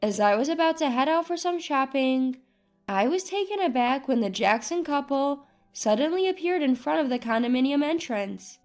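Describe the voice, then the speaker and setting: A woman's voice: high-pitched, animated, normal speed, darkish tone. A woman reading a scripted first-person story as narrator. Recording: music bed behind voice. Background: music.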